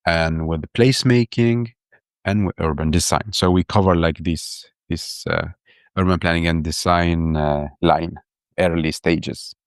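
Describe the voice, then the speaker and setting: Low-voiced enthusiastic man, guest. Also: fairly even intonation, measured pace, smooth, no room sound, clean studio sound. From Iraq. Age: 30s. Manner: enthusiastic, many restarts and repeated words. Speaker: podcast guest, urban planner. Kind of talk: casual interview talk.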